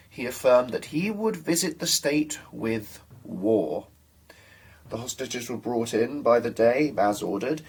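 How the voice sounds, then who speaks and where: Slightly husky young man reading formally. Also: mid-range voice, low-quality recording. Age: young adult. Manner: measured, formal, phrase-by-phrase with deliberate pauses. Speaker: student reader. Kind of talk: dramatic reading of a historical text.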